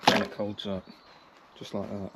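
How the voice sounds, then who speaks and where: A man's voice: lowish voice, completely flat delivery, normal speed, very noisy. A young man giving plain, matter-of-fact, casual commentary as he works, like a vlogger. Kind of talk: casual narration while working on bikes. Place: car, sheds and yard while working.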